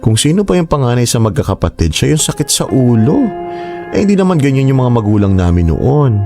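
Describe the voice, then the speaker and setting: A man's voice: medium pitch, sing-song, a little fast, mellow. A man playing a concerned, exasperated middle-aged uncle in a Tagalog radio drama. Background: music.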